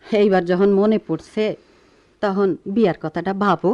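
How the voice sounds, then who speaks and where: A woman's voice: medium pitch, steady tone, normal speed, a little mellow, highs cut off, lightly acted. A woman playing a scolding middle-aged village wife and mother in a Bengali radio drama, with a rural Bangladeshi accent. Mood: pressing. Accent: rural Bangladeshi dialect. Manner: scolding, reproachful.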